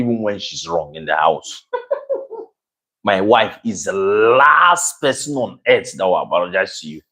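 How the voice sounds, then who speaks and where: Loud, animated man. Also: lowish voice. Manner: loud, animated, addressing viewers. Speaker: husband co-hosting a couple's channel. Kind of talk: casual chat.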